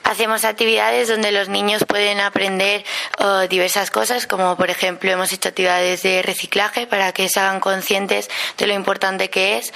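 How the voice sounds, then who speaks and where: Young woman with a gravelly, flat voice. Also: mid-range voice. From Palma de Mallorca. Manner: conversational, with filler pauses. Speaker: summer camp trainee.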